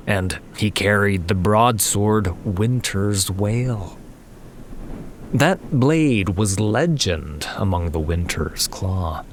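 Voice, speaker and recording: Deep, slow male fantasy narrator. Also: slight background noise. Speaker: audiobook narrator. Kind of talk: dramatic scripted fantasy narration. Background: music.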